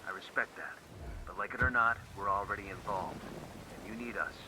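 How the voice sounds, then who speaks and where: An actor playing a steady, terse special forces soldier. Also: male voice, fairly low pitch, a little fast, lightly acted. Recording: game audio with loud background noise.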